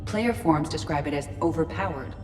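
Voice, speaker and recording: An actress playing a calm female ship AI who speaks in a precise, measured, literal way. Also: low voice, in a large hall, over music, lightly acted. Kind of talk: video game dialogue.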